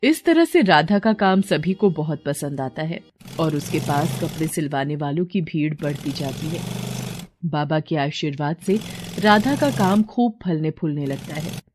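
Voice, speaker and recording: A woman's voice: sits low, expressive, a little slow, background noise. A woman narrating a scripted moral story as a storyteller.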